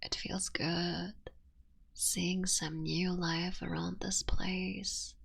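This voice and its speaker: An affectionate kitsune witch acted by a woman speaks very slowly in a low-leaning, heavily creaky voice. She slips between soft talk and breathy whispers, intimate and playful with a wistful side, as in an ASMR roleplay. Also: flat delivery, heavily acted. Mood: amused, teasing.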